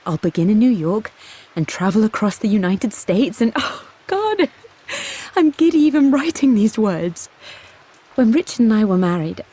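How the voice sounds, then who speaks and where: A tender, excitable wife and painter confides her written thoughts in a game. Her voice is mellow and breathy, sitting at a medium pitch. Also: moderate pace. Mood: giddy, tender. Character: giddy, affectionate married woman painter. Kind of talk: diary letter read in character.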